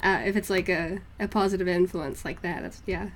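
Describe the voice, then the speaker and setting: A woman's voice: on the low side, fairly even intonation, a little fast. A young woman with a mixed northeast English and New Zealand accent, chatting casually and ramblingly to viewers on a livestream, with a giggly, informal manner. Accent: hybrid northeast English and New Zealand. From northeast England, moved to New Zealand as a child. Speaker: art livestreamer.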